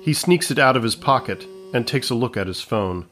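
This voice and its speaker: A man narrates a scripted audio comedy. His voice is of middling pitch, and he reads in a measured, matter-of-fact manner. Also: expressive, fairly bright tone.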